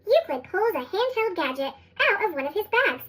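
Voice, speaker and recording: Chipmunk effect, extremely high pitch, lively intonation, children's story read-aloud, expressive narration. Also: moderate pace. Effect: sped-up chipmunk voice.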